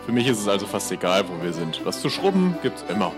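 A man's voice: lowish voice, big pitch swings, fast, music in the background. A man playing a naive, easygoing pirate deckhand in an improvised audio adventure, talking in a hesitant, rambling slacker way. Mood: weary, resigned. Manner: hesitant, rambling, laid-back slacker talk.

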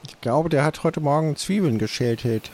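A man's voice: a little high, normal speed, noisy background. A man taking part in an improvised adventure audio play with casual dialogue.

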